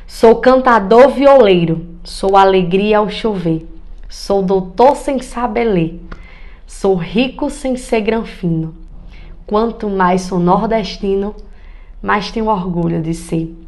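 Young woman w northeast brazil accent, reciting verse, unhurried, smiley delivery. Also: mid-range voice, a little mellow. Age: young adult. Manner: smiling, measured, rhythmic. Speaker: poetry reciter. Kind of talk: expressive cordel poetry recitation. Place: indoor room.

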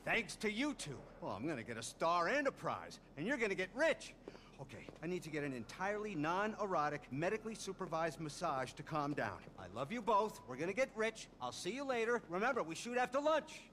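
An actor playing a manic, vain middle-aged show producer who gushes and rambles in a video game. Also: male voice, high voice, big pitch swings, a little fast, fairly bright tone, a little hiss or hum. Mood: manic glee. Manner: gushing, rambling, sudden swoops.

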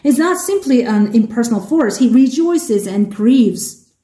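A woman with a Korean accent interpreting a sermon into English, measured and halting, with restarts. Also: a little high, expressive, dark tone, roomy sound.

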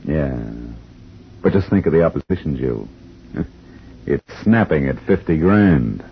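An actor playing a wisecracking private detective in a 1950s radio drama, dry and hard-boiled, on a muffled old broadcast with faint hiss. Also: male voice, rumbling low, animated, unhurried, exaggerated voice. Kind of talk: 1950s radio drama acting and first-person narration.

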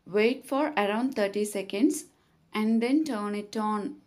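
A woman reading scripted step-by-step tutorial narration in a measured, instructional manner. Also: mid-range voice, a little mellow.

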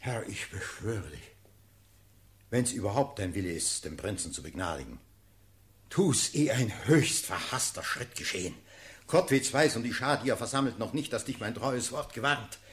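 An actor playing an urgent, pleading officer in a classical verse radio drama, declaiming with passion. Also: male voice, on the low side, animated, moderate pace, close to an everyday voice. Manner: impassioned, declamatory. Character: urgent, pleading officer counselling his sovereign.

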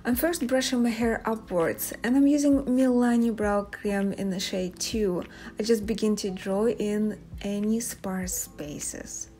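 A woman's voice: medium pitch, fairly even intonation, measured pace, dark tone. A young woman, a native Russian speaker with a Russian accent, presenting a makeup tutorial to viewers as a beauty vlogger. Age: young adult. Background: background music.

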